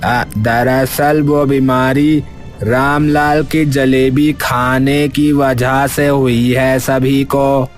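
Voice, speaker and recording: A worried villager from a cartoon, voiced by a man. He speaks very slowly, in an almost flat, even tone. Faint music plays beneath. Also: medium pitch.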